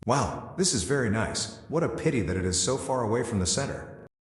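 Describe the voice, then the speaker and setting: A man's voice: mid-range voice, lively intonation, brisk, reverberant, in a large hall, close to an everyday voice. A man who speaks English as a second language, voicing a hapless young traveller muttering to himself in a story. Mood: impressed. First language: not English.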